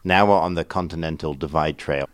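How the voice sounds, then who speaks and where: Very deep-voiced tired man on trail. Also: fairly quick, no echo. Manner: relaxed, swears casually. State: tired from hiking. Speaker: hiker vlogging a thru-hike. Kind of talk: casual trail diary chat. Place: outdoors at a campsite. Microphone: clip-on mics.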